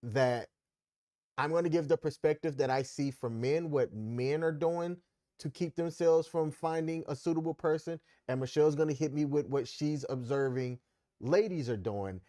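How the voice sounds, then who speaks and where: An easygoing man co-hosting a live stream, steering the talk. His voice is a bit higher than most men's. The sound is dry and close, with no room echo. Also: moderate pace, dark tone. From Maryland, USA. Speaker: co-host. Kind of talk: casual chat on a live stream.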